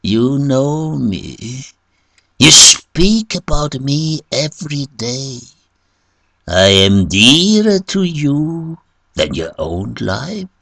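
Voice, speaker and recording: A man voicing a cunning, proud, wounded Satan in a story, pleading in drawn-out, commanding phrases. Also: sits low, lively intonation, creaky, bright, forceful tone, no room sound, heavily acted. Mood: sly, proud. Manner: slow, drawn-out, commanding pleas.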